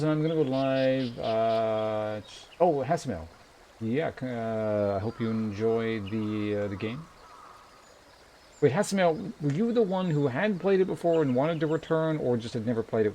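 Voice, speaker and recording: A man's voice: medium pitch, animated, moderate pace, warm tone, a little hiss or hum. A man live streaming a game, chatting casually with viewers in a rambling, polite way as he thinks aloud. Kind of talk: casual chat while streaming a game, reading viewer messages. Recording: music behind the voice.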